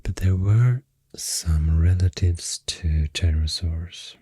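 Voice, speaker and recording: A woman with a Swedish accent doing soft-spoken ASMR rambling, breathy and whispery, hesitant and unhurried, close to the microphone. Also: extremely low pitch, no echo. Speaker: ASMR artist. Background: soft pencil sounds.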